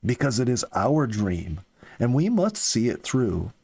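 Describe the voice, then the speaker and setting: Guy narrator doing young soldier in an audiobook, earnest n philosophical, mid pitched, really expressive. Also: unhurried, creaky, exaggerated voice. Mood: solemn, resolute. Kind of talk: audiobook character dialogue.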